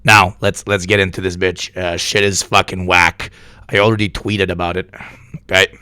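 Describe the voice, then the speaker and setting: A sick, hoarse man streaming live news commentary, talking bluntly and with plenty of swearing. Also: sits low, little pitch movement, moderate pace, bright, forceful tone, no room sound. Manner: profane, blunt, rambling. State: hoarse from illness.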